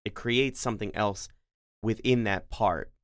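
A man co-hosting a casual music discussion, haltingly feeling out his descriptions and sounding out rhythms. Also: on the low side, no room sound, clean studio sound.

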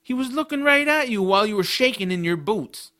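A man's voice: high voice, animated, fairly quick, bright, forceful tone, dry recording, clean studio sound. A man playing an earnest, kind-hearted adventurous boy who narrates his own story in an audio drama. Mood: apologetic, defensive. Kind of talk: first-person audiobook narration with dialogue.

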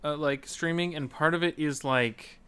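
A man's voice: fairly high pitch, moderate pace, fairly bright tone, smooth. A man co-hosting a podcast in casual, joking conversation about a video game, hesitating as he thinks aloud. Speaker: podcast co-host.

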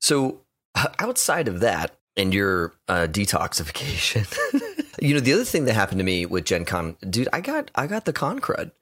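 A man hosting a gaming podcast in casual chat, lively and quick to laugh. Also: fairly low pitch, slightly rough. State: recovering from a sore throat. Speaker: podcast host.

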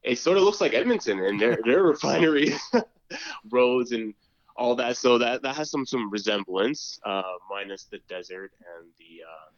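A man's voice: medium pitch, lively intonation, normal speed, no echo, highs cut off. A man speaking as a podcast guest in casual chat, hesitant, with many fillers and restarts. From Canada. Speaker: podcast guest, student council member.

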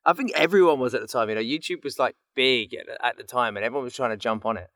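A man's voice: mid-range voice, fast, a bit bright, dry recording, clean studio sound. A man in his thirties chatting casually and telling anecdotes in a playful, easily amused way. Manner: playful, laughs easily while talking. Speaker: voice actor guest.

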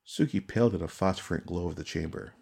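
A man reading dramatic fantasy narration as an audiobook narrator, solemn, measured and suspenseful. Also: low voice, gravelly, darkish tone, husky.

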